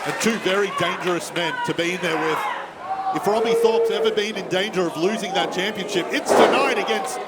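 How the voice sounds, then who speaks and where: A man's voice: sits high, brisk. A man giving colour commentary on live wrestling in an argumentative, openly partisan manner. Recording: loud crowd noise behind commentary. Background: noisy wrestling crowd.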